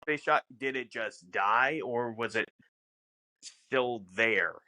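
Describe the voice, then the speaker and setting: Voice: medium pitch, slow, bright, forceful tone. A podcast co-host in casual fan discussion, musing and posing questions aloud.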